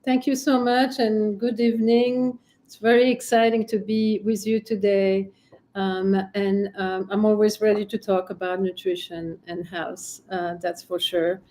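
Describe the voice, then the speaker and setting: A middle-aged woman physician who grew up in Congo, answering interview questions in a measured, gracious way. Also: medium pitch, little pitch movement, warm tone. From Democratic Republic of Congo. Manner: measured and gracious, with many fillers. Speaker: physician guest.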